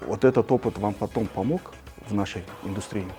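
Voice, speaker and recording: A man's voice: fairly low pitch, fast talker, dark tone, faint music behind. A man hosting an interview, speaking in a formal, announcer-like way. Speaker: interview host.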